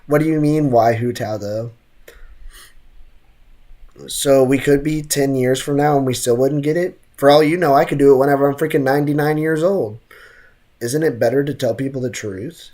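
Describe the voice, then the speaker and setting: A streamer commentating casually on a game in a medium-pitched voice. The talk is slangy and full of joking asides, moving between the game and the chat. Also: moderate pace, mellow.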